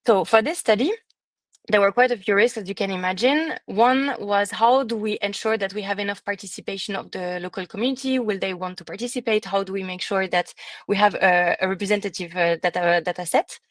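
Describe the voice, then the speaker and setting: Woman presenting research, measured with fillers. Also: mid-range voice, no echo. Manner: measured, with frequent fillers and restarts. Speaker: student presenter. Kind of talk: academic conference presentation. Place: conference room.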